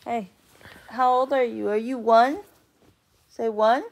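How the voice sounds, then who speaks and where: A woman talks to a one-year-old in drawn-out, sing-song baby talk. Her voice sits slightly high, with a gravelly fry, and she speaks very slowly. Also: fairly even intonation.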